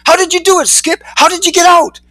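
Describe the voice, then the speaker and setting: Guy doing amazed gullible fly crowd, super high excited squeals of awe, story. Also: fast, dry recording. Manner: cheering, marveling. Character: gullible, awestruck crowd of worker flies.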